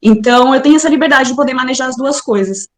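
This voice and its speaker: A woman's voice: high voice, fast, echoey room. A Brazilian woman with a Brazilian Portuguese accent, chatting as an interview guest over a video call.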